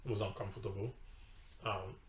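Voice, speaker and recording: A man's voice: on the low side, steady tone, fairly quick, a little hiss or hum. A pastor with a Trinidadian accent telling a personal testimony in conversation, emphatic and storytelling, sometimes repeating his words. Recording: roomy echo.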